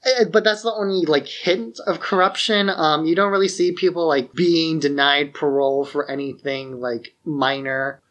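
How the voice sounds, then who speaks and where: A man's voice: fairly high pitch, fairly even intonation, normal speed, hardly any pauses, a bit bright. A man co-hosting a podcast, discussing films casually and informally, sometimes voicing imagined remarks as he explains. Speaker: podcast co-host.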